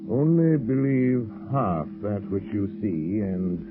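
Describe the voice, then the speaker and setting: A calm know-it-all gentleman detective, lowish, tinny old radio, faint music. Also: slow pace. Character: calm knowing male gentleman sleuth. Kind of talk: 1930s radio acting.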